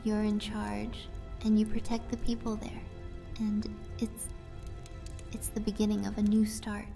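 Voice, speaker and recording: A woman playing a mysterious young vampire fortune-teller from the East in a hushed, intimate fantasy audio drama. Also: medium pitch, completely flat delivery, measured pace, creaky. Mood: hushed, entranced. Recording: music behind the voice.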